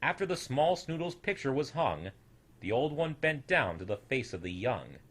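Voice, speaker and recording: A man reading a rhyming children's tale aloud as an expressive storyteller. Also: mid-range voice, normal speed, slightly rough.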